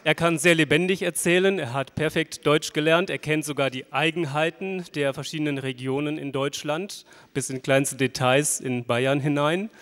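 Male moderator, friendly and questioning, slightly high voice, long hall reverb. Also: fairly even intonation, normal speed, a bit bright. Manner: friendly, summarizing, asking questions. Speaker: panel moderator. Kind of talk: moderating a panel. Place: large hall.

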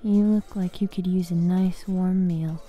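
Girl doing a bossy young guild master lady for fantasy asmr, slow talking, super mellow and soft, commanding, music behind. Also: fairly low pitch, fairly even intonation, lightly acted. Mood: softening. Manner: soft-spoken, commanding, frequent sighs and pauses. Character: bossy young woman fighters guild master, gruff but caring. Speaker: ASMR roleplay performer.

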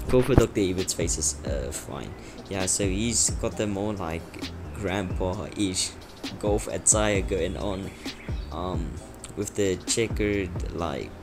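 Deep-voiced young man. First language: Afrikaans. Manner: rambling, filler-heavy. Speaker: game youtuber. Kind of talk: casual gameplay commentary. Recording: voice-over with loud game music.

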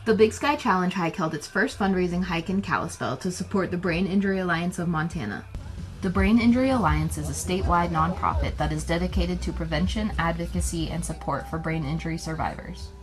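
A woman's voice: lowish voice, fairly quick. A woman reading scripted television news narration as a reporter. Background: music.

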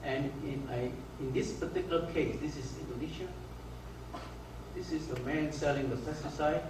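A man from Malaysia giving a conference lecture over slides, speaking haltingly with many restarts and fillers. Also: on the high side, fairly even intonation, background noise. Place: lecture hall. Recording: echoey hall recorded from far off.

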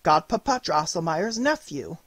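A reader voicing Marie, an earnest, excitable young girl who pleads to be believed. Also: medium pitch, unhurried, breathy edge, dry recording, voice pushed up, exaggerated voice. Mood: eager. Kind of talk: audiobook character reading.

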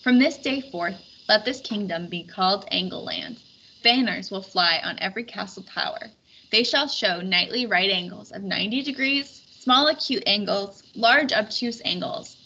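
A woman's voice: bright, forceful tone, breathy edge, dull audio, heavily acted. A woman voicing a jovial, grand king with a proclaiming manner in a storybook read-aloud. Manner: booming, proclaiming.

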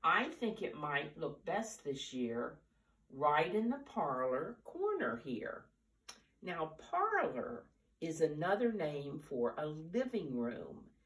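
Slow, low-voiced elderly American woman storyteller. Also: sing-song, far from the mic. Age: 70s or older. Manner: simple, deliberate teacher-like phrasing with questions to children. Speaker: storyteller reading to preschoolers. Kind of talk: storytime talk and picture-book reading for young children. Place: living room at home, indoors. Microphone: camera a few steps away.

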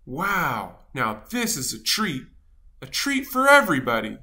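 A woman as a dramatic, bright-voiced grumpy little seed. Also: deep voice, wildly swooping pitch, measured pace, voice pushed down, full character voice. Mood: cheerful. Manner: theatrical, exaggerated, self-important delivery. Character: grumpy, dramatic sunflower seed, male, fretful but good-hearted. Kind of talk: animated children's storybook read-aloud.

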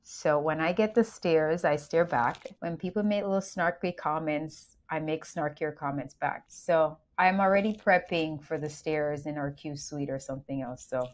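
A woman's voice: deep voice, moderate pace. A woman from Jamaica with a Jamaican accent, co-hosting a casual panel chat over a video call and telling stories in a wry, candid way. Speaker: podcast co-host.

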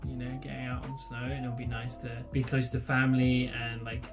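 A man's voice: mid-range voice, completely flat delivery, brisk, over music. A man in his mid twenties answering questions as a guest, laid-back and casual, with lots of filler words, recorded on a fixed camera in a bedroom. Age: 20s. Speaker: guest, partner. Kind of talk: casual chat. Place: bedroom at home.

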